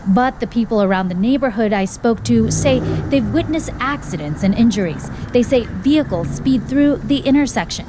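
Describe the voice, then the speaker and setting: A woman's voice: on the high side, animated, normal speed, few pauses, noisy background. An American woman narrating a scripted television news report as a field reporter.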